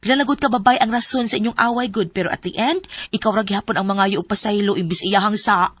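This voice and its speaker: A woman's voice: medium pitch, fast, a bit bright, slightly rough, no echo, studio quality. A woman acting the lead's frank, teasing friend in a radio drama, giving blunt advice. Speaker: supporting character, the lead's friend.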